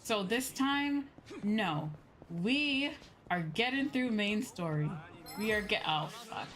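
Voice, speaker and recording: A woman's voice: medium pitch, lively intonation, slow, a bit bright. A woman chatting casually and with some self-deprecation as she livestreams a video game. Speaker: streamer, virtual YouTuber. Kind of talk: casual livestream gaming chat. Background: game music behind the voice.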